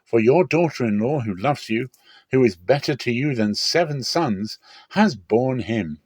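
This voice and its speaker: A man's voice: mid-range voice, animated, a little slow, slightly rough, no room sound, pitched above the performer's own voice. Man voicing townsfolk in exalted, declamatory blessing. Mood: joyful blessing. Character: townspeople and women proclaiming a solemn joyful blessing.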